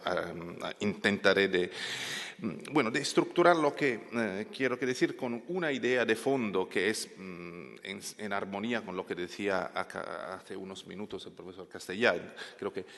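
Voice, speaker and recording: A man's voice: low-pitched, creaky, a bit bright. A man whose first language is not Spanish, speaking hesitantly as a panelist at an academic panel, with many fillers and restarts. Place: large conference hall. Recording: echoey hall.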